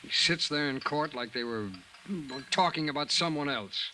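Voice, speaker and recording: A man's voice: fairly high pitch, normal speed, sharp tone, poor audio. A man playing a skeptical, worried insurance colleague in old-time radio drama, in doubtful conversational dialogue. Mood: doubtful, weary. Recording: vintage radio recording, faint noise.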